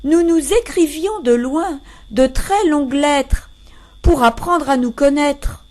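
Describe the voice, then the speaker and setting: A woman with a fairly high voice recites verse at a very slow pace. Her phrasing is measured and expressive, with deliberate pauses between the lines. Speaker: poetry reader.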